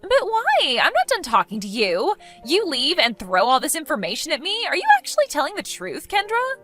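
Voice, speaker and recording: A woman's voice: sits high, wildly swooping pitch, moderate pace, fairly bright tone, faint music behind, heavily acted. A woman voicing a catty, condescending, gossipy sister-in-law in an exaggerated, melodramatic style for a dramatized story. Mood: shocked disbelief. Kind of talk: dramatized text-message story voice acting.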